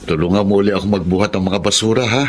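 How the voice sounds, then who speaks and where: An actor playing Mang Pedring, a kindhearted middle-aged father, speaking gently and patiently in a radio drama. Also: lowish voice, rapid-fire, a little hiss or hum, natural voice. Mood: gentle.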